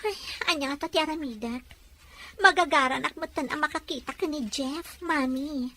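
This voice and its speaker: A woman acts out an eager young daughter in a drama serial, lovestruck and pleading her case. Her voice is high and piercingly bright. There is faint noise behind her. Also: moderate pace. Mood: anxious, pleading.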